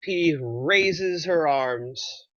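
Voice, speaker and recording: A man with a bright, forceful voice at medium pitch reads scripted narration. He delivers screenplay action lines aloud, and the recording is dry and slightly dull. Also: very slow, dry recording.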